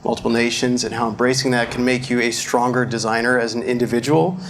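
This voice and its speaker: A man of middle pitch moderates a fireside chat on stage in front of an audience. He is upbeat and chatty, and his questions are sprinkled with "um" and "uh". A faint hiss sits under the recording. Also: steady tone, quick pace. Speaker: interviewer, moderator.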